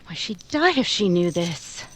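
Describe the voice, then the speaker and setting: A woman's voice: lowish voice, sing-song. A woman acting a timid, flustered young woman, noisy recording. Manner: hesitant, stammering, halting. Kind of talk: 1940s radio drama acting.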